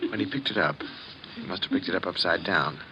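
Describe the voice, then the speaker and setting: Guy playing a grave man in old radio drama, deep voice, swings a lot, dull recording. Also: fast, noisy background, lightly acted. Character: grave man explaining a grim tragedy. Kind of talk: 1950s radio drama acting.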